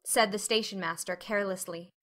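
A woman's voice: medium pitch, fairly even intonation, fairly quick, a bit bright. A woman reading a classic novel aloud as an audiobook narrator, in a scripted, dramatic storytelling style.

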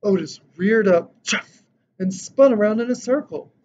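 Higher-voiced man reading a children's storybook. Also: measured pace. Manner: expressive, dramatic storytelling. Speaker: storybook reader. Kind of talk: children's picture book read-aloud. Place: indoor decorated room. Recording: dull lo-fi sound with background noise.

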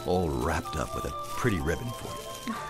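A man's voice: very deep voice, very animated, normal speed, over loud music. An actor playing a tipsy, jolly down-and-out man as Santa Claus, effusive and theatrical, in a radio drama. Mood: gentle, bemused.

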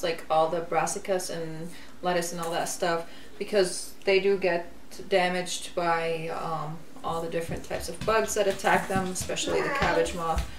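Slow-talking woman in a roomy kitchen. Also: fairly low pitch. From Lebanon. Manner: giggly, chatty, many fillers. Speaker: gardening channel host. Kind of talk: casual chat, seed haul. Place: kitchen, seated at table. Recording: roomy, distant mic, faint hiss.

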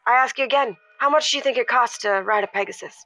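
Woman playing pushy high-pitched saleswoman caller, bright. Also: quick pace, no room sound, rough recording. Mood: coaxing. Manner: insistent, salesy. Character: pushy, boastful woman pitching a money scheme. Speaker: caller to a radio show. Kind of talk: semi-scripted audio drama.

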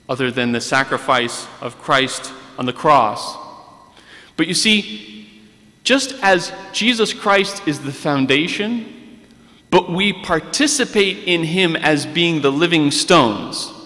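A man in his thirties preaching a sermon at a pulpit microphone in a large church sanctuary, earnest and deliberate, reading scripture aloud. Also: on the high side, bright, forceful tone. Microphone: pulpit microphone on a stand. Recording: long church reverb.

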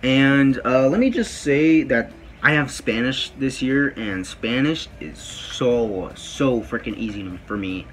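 A teenage boy with a deep voice, rambling casually to his phone camera in a small bedroom, with lots of fillers. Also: lively intonation, slow pace, a little hiss or hum. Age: teens. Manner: rambling, many fillers and "freaking". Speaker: vlogger. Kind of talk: casual vlog talk to camera. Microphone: phone camera at close range.

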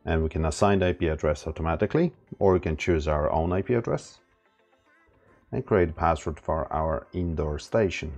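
A man narrating a casual step-by-step product setup tutorial in a plain, halting instructional way. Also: a bass voice, a little mellow. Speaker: product demonstrator. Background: background music.

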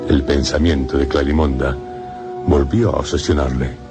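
A man narrating a gothic horror radio drama in a solemn, measured way. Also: a bass voice, steady tone. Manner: solemn, measured storytelling in short phrases. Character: grave male narrator of a gothic horror tale. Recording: music under the voice.

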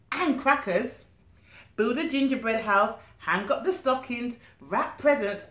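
A woman's voice: mid-range voice, sharp tone. A woman in her thirties reads a children's story expressively, with chatty asides and questions to the young listeners. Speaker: nanny reading a storybook to children. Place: small living room, indoors. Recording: roomy living-room echo.

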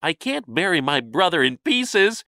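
A man's voice: fairly high pitch, sing-song, a little slow, bright, forceful tone, no room sound, studio quality, pitched above the performer's own voice, full character voice. A man voicing a timid, wondering poor hero in a storybook, earnest and exclamatory. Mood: worried.

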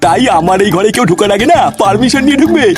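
A man plays a bossy, lecherous principal from a comic cartoon. His smooth talk comes very quickly in a high voice, with music clearly audible behind it. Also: expressive. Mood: flattering, amorous.

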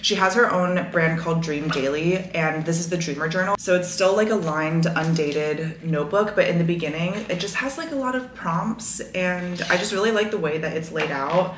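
Young woman, chatty vlog talk, low voice, roomy echo, light hiss. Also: normal speed, few pauses. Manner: chatty, many fillers, enthusiastic about stationery. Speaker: lifestyle vlogger. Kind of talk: casual vlog chat to camera. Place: apartment living room, indoors. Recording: echoey room with faint noise.

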